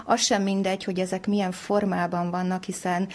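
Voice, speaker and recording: Female expert interviewee, slightly low voice, detailed and explanatory. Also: level tone, darkish tone.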